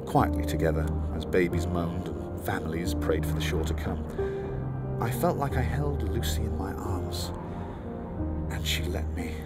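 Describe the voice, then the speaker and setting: Male actor as a devoted, haunted passenger in an audio play; lowish voice, lyrical and close. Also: moderate pace, lightly acted. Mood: wistful, uneasy. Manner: intimate, lyrical storytelling. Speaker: narrator-protagonist of an audio play. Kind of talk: first-person audio drama monologue. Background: loud music score.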